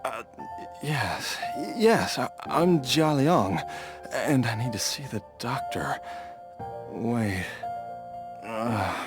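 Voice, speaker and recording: A man's voice: mid-range voice, animated, drawn-out words, music in the background. A man voicing a sickly, confused father in a video game, stammering and vague, trailing between hesitant fragments. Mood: dazed, bewildered.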